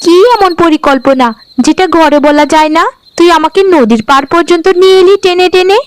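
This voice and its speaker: A woman's voice: extremely high pitch, fairly quick, no room sound. A woman voicing a grumbling, timid young sister in a fairy-tale cartoon, with a whiny, complaining delivery. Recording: background music under the voice.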